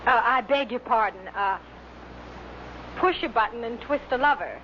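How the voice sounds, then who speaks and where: A woman's voice: on the high side, moderate pace. A woman reading a vintage commercial as an announcer. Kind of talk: vintage ad read. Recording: muffled, noisy old broadcast recording.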